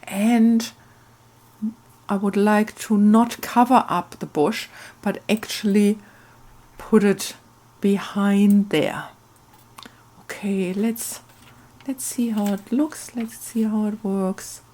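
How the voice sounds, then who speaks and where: A woman hosting a craft video, talking in a musing, unhurried storytelling way. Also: medium pitch, steady tone, mellow. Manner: musing, hesitant, thinking aloud. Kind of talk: casual craft narration and slow storytelling.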